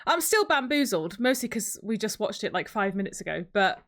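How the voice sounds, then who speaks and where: A woman's voice: medium pitch, big pitch swings, brisk, fairly bright tone. Casual, chatty woman podcast host. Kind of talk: casual chat.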